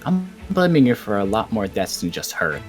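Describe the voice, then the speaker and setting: A man's voice: lowish voice, very animated, normal speed, faint music behind. A man playing a menacing, scornful rival mage who taunts and dismisses others in a fantasy game roleplay. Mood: accusing. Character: menacing, scornful male rival guild mage, sneering bully. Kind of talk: improvised Minecraft fantasy roleplay.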